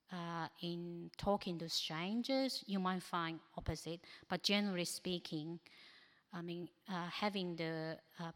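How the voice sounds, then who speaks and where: Woman speaker, English not her first language, lowish voice, hesitant practical tips, long hall reverb. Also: a little mellow. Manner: practical, advice-giving, with frequent hesitations. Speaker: speaker at a church ministry training. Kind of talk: informal presentation with slides.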